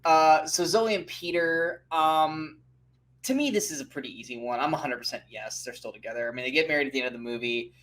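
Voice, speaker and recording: A man co-hosting a casual movie debate podcast, animated and loose, with many fillers, laughs and the odd swear. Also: a little high, fairly quick, poor audio. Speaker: podcast co-host.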